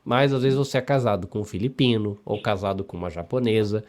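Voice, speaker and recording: A man's voice: lowish voice, fast talker, a little mellow. Chatty Brazilian man hosting a podcast. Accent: interior São Paulo Brazilian Portuguese. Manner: chatty, upbeat, repeats phrases. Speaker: podcast host. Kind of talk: casual live podcast chat.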